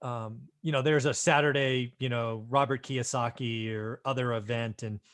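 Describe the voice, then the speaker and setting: A man's voice: mid-range voice, steady tone, moderate pace, fairly bright tone. A man, a real estate investor and host, giving conversational business commentary in an explanatory, affirming way, often checking in with a short question.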